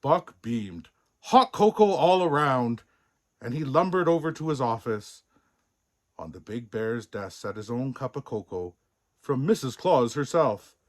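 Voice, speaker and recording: A man narrating a children's story as a storybook reader, expressive, playful and theatrical, relishing the sound words. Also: mid-range voice, measured pace. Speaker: storybook reader in a Santa persona.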